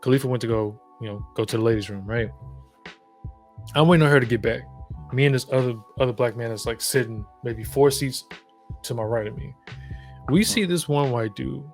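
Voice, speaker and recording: A man's voice: medium pitch, fairly quick, soft background music. A man from the American South with a Southern accent, a podcast guest talking in a reflective, emphatic way, telling stories with frequent restarts. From Southern United States. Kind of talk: casual conversation.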